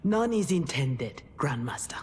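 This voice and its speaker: An actress playing a proud, defiant young warrior trainee in a video game, speaking forcefully. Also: female voice, rumbling low, very animated, unhurried, a little hiss or hum, full character voice. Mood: grave, questioning. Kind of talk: dramatic video game acting.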